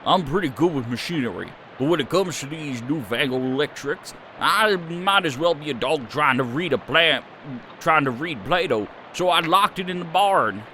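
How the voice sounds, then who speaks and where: A man's voice: on the high side, lively intonation, normal speed, bright, forceful tone, slight background noise, pitched below the performer's own voice. A man voicing an aging, homely male farmer who talks in a rambling country drawl. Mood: folksy.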